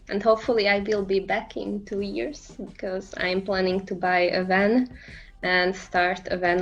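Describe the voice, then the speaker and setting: Woman in her 20s, slow easygoing chat, lots of ums, kinda dull lo-fi sound with faint music. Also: lowish voice. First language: Slovak and Hungarian. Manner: laughs often, hesitant fillers. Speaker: guest. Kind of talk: casual conversation.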